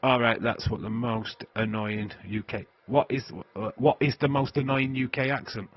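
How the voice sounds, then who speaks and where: A man's voice: mid-range voice, steady tone, moderate pace, no echo, muffled recording. A man with a Yorkshire accent chatting casually to viewers on a livestream, rambling and laughing often. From England. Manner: rambling, laughs often, many filler sounds. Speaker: livestream host.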